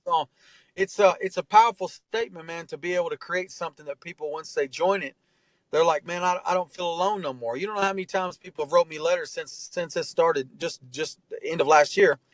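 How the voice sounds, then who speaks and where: A man's voice: fairly high pitch, a little fast, dry recording, rough recording. A man around forty, a guest in an interview, telling his story in an earnest, heartfelt way and often saying "man". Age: 40s. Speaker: interview guest, artist and tattoo artist. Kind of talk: candid conversational interview.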